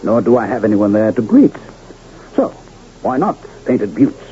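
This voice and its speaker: A man's voice: on the low side, very animated, moderate pace. Florid, genial old carnival showman. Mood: kindly, scheming. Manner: theatrical, florid, courtly diction. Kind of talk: old-time radio drama acting. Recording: muffled, noisy vintage radio broadcast.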